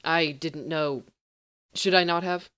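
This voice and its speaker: A woman's voice: low voice, slow, a bit bright, clear, resonant tone, no room sound, studio quality. A woman voicing a tense, blunt male soldier who talks curtly. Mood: strained. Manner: curt and strained. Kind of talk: storybook reading.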